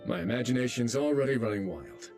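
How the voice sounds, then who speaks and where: An actor playing a wry, relaxed man in charge in a video game, talking with dry, teasing ease. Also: mid-range voice, fast, music in the background, lightly acted. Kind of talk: dramatic game voice acting.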